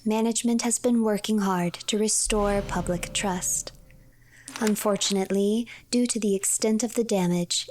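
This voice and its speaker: A woman streamer narrating a video game as a story, reading in a measured, formal storyteller delivery. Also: mid-range voice, a little mellow, no room sound. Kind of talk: scripted story narration, third person. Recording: clear background noise, game ambience.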